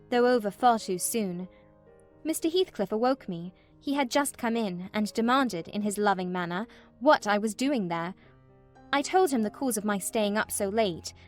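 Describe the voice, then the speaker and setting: A woman playing an unhappy young gentlewoman, recounting her troubles in measured literary narration for a dramatized audiobook. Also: a little high, natural voice. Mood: bitter dismay. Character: vexed, wretched young gentlewoman recounting in a letter.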